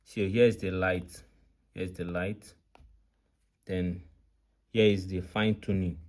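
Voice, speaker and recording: A man's voice: sits low, flat delivery, slow pace, a little mellow. A man presenting an unscripted product demonstration, explaining step by step with repetition and often checking that viewers follow. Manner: frequently checks understanding with short questions. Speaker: tutorial presenter.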